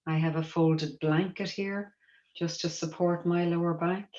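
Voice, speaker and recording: Female mindfulness teacher, low gentle voice, guided-practice delivery, slightly dull recording in a roomy space. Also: fairly even intonation, a little slow. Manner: gentle, softly encouraging. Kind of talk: guided meditation instruction. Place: indoors, seated in a room. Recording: echoey room, slightly dull sound.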